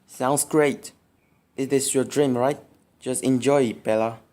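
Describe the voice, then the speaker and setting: A man playing a gentle, supportive teenage schoolboy in an amateur romantic radio drama. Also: medium pitch, fairly even intonation, measured pace, natural voice. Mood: gentle, curious.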